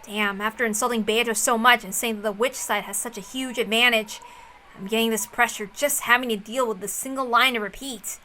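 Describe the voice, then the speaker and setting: A woman voicing a brooding, calculating male sorcerer in a visual novel, speaking in a serious, deliberate, dramatic way. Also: fairly high pitch, steady tone, bright, forceful tone, a little hiss or hum. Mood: tense, wary.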